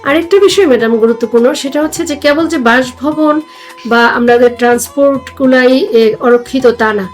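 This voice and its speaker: Higher-pitched Bangladeshi woman, faint music behind. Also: normal speed. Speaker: guest, former judge. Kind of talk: formal discussion.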